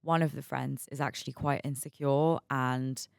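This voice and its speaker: A raspy, hungover woman in her mid-twenties hosting her own podcast, chatting candidly, laughing often and repeating words as she talks. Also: extremely low pitch, steady tone, normal speed, no echo, studio quality. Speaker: podcast host. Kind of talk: casual solo podcast chat.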